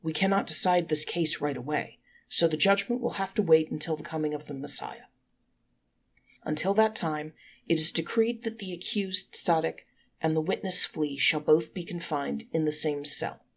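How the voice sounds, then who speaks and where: A woman reads as a solemn judge of a storybook heaven. The decrees are formal and declaratory, in a husky voice with creak. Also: low voice, moderate pace.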